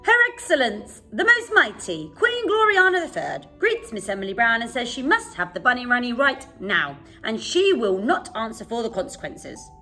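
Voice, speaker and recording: A woman acts out a pompous royal military messenger from a storybook. The voice proclaims loudly and formally, with big swoops in pitch. Music plays softly behind. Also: big pitch swings, normal speed, few pauses, heavily acted. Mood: grand, insistent.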